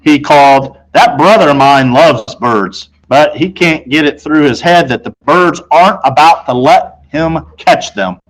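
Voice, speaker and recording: A man reading a children's novel aloud in an expressive, conversational way, telling it as a boy in the first person. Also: a little high, measured pace, few pauses, poor audio. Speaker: storybook reader.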